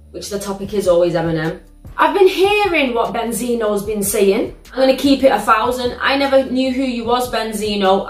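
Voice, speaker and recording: A young woman with a British accent, hosting a reaction video in a living room, telling stories in an animated, slangy, exclamatory way. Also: on the high side. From United Kingdom. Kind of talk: casual reaction vlog. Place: living room, sitting on a sofa. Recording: roomy living-room echo.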